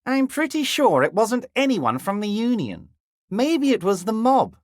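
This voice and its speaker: An actor voicing a jovial, scheming middle-aged union boss in a video game, smooth and ingratiating, dropping low and soft on pet names, crude at times. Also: male voice, huge pitch swings, unhurried, no echo, studio quality, exaggerated voice. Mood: smug, ingratiating. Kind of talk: video game character dialogue.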